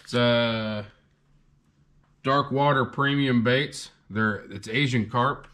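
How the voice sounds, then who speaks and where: A man's voice: mid-range voice, fairly even intonation, slow pace, a bit bright. A young man hosting a fishing channel, chatting casually and rambling a bit as he shows off gear. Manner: laid-back, rambling with fillers. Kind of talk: casual haul video chat.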